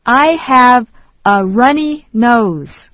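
Woman reading english drill phrases really slow, kinda high voice, dry muffled recording. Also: animated, no echo. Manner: slow, deliberate enunciation. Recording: dry, dull lo-fi studio read.

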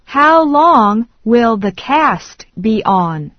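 A woman slowly reading English phrases one at a time in a language drill, with deliberate enunciation. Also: a little high, sing-song, dry recording. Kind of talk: slow repeat-after-me English drill. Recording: dry, dull lo-fi studio read.

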